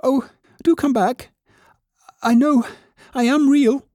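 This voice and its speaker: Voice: high-pitched, steady tone, slow, warm tone, no echo, studio quality, voice pushed up. A narrator voicing a small, shy, proud toy rabbit in a children's storybook, earnest and plaintive like a young boy. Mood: tearful, pleading.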